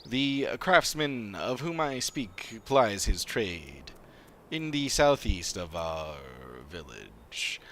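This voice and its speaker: Man acting a slow, formal guardian creature. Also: fairly low pitch, big pitch swings, a bit bright, a little hiss or hum, full character voice. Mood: earnest, courteous. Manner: formal, measured, drawn-out phrasing.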